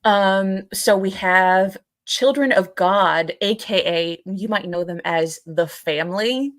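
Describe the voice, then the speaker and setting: A woman co-hosting a live podcast, talking casually and haltingly as she searches for words and hedges. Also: medium pitch, dry recording, clean studio sound. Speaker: podcast co-host.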